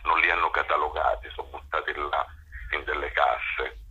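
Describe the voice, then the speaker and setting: Very deep, gravelly male interview guest. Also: little pitch movement, moderate pace, sharp tone, dull audio, a little hiss or hum. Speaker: interview guest, actor and director. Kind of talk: conversational interview.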